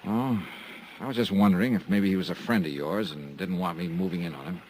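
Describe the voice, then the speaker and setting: A man's voice: deep voice, a little fast, rough recording. An actor playing a tough, dry-witted male insurance investigator in a 1950s radio drama, narrating terse and hard-boiled. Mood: wary. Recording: old radio transcription with faint hiss.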